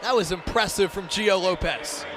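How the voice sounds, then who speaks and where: A man's voice: sits high, normal speed, background noise. An American man doing live radio play-by-play, calling the action in detailed, punchy phrases. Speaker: play-by-play sports announcer. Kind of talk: live radio sports commentary.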